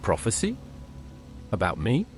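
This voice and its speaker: A man's voice: lowish voice, wildly swooping pitch, unhurried, noisy background. A man playing an inquisitive adventurer in a fantasy game, with a plain-spoken, questioning manner. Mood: curious. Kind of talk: AI-voiced fantasy game dialogue.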